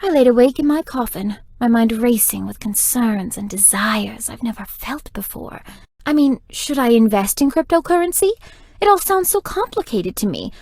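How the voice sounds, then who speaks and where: A woman's voice: fairly high pitch, lively intonation, normal speed, darkish tone, no echo. A woman voicing a flirtatious, playful, theatrical vampire in a video game, teasing and melodramatic. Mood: playful, confiding. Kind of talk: scripted video game character dialogue.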